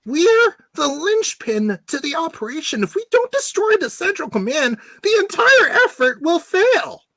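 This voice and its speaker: A woman's voice: very high voice, very animated, unhurried, pushed and bright, dry recording, full character voice. A woman playing an urgent, hard-line starship officer in an amateur sci-fi radio play. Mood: urgent, insistent.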